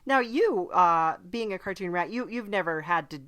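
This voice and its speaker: Woman playing a chatty demon from hell, lowish female voice, hesitant, rambling, earnest, improvised comedy podcast. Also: animated, moderate pace, fairly bright tone. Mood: chatty, matter-of-fact. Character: chatty demon from hell who visits the human world. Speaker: podcast host. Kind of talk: improvised in-character comedy interview.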